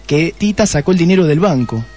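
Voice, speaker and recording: An actor playing a shrewd, testy police detective in a radio play, with an Argentine accent and a deliberate way of questioning. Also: male voice, a little high, animated, darkish tone, lo-fi sound, faint music behind. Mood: probing, insinuating. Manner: deliberate, repeats answers back pointedly. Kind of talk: Spanish-language radio play acting.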